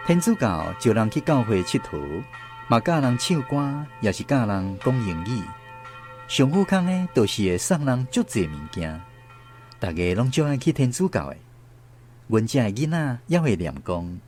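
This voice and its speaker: Man as grown son narrating, Taiwanese accent, lively intonation, expressive storytelling, radio drama, music underneath. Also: medium pitch, normal speed, natural voice. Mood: nostalgic. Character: fourth son looking back on his poor childhood.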